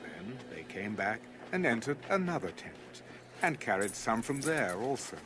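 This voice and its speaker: A man's voice: medium pitch, sing-song, unhurried. A man narrating scripture as a dramatized audio production. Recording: music under the voice. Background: background music.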